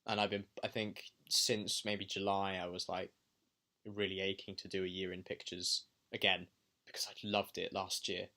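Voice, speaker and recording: A man's voice: low-pitched, flat delivery, moderate pace, sharp tone. A young adult man talking reflectively in a personal video diary, pensive and hesitant as he thinks aloud. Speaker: vlogger and amateur filmmaker.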